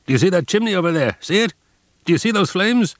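A man's voice: sits high, expressive, fast, no echo, pitched above the performer's own voice. A man voicing a brutal male camp prisoner who screams with hysterical fury at new arrivals. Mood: furious.

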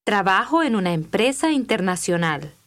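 A woman reading scripted language-course dialogue with clear, deliberate enunciation. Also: mid-range voice, big pitch swings.

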